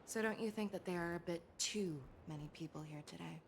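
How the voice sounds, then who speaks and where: A woman voicing a composed, shrewd general in a video game, with a measured, commanding, analytical manner. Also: lowish voice, vocal fry, a little hiss or hum. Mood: calm, calculating.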